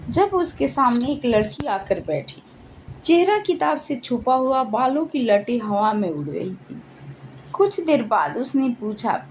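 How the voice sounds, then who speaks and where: A woman's voice: fairly high pitch, darkish tone, slight background noise, low-quality recording. A woman narrating a scripted emotional story in a dramatic, sentimental way.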